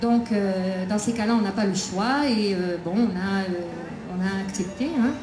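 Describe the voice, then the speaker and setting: A woman's voice: medium pitch, mellow, a little hiss or hum. Hesitant woman speaking over an echoey PA. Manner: halting, many hesitations. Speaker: prisoner's mother, invited speaker. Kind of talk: public talk at a debate. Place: outdoor festival debate tent. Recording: echoey PA with crowd ambience.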